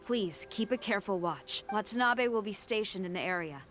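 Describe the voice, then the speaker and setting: A woman playing a stern, dutiful female commander in a video game, speaking in a formal, measured, authoritative way. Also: mid-range voice, expressive, a bit bright, soft background music, natural voice. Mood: grateful, formal. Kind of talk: game character acting.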